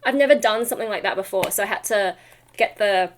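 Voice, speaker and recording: A woman's voice: medium pitch, fast, a little hiss or hum. A woman chatting casually for a vlog in a tired, rambly, self-deprecating way with trailing asides. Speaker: vlogger and metal vocalist.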